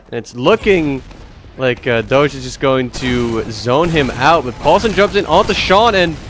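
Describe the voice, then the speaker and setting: A man's voice: medium pitch, a little hiss or hum. A man casting a live esports match, talking through plays in a loose, rambling way with lots of fillers. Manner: relaxed, rambling play-by-play with many fillers. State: voice getting raspy. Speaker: esports caster. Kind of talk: live game commentary.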